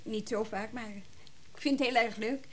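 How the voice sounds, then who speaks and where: A woman's voice: fairly high pitch, steady tone, moderate pace, slight background noise, natural voice. An actress playing a polite, slightly hesitant young girlfriend who helps in a shop, in a naturalistic radio play. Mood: polite, shy.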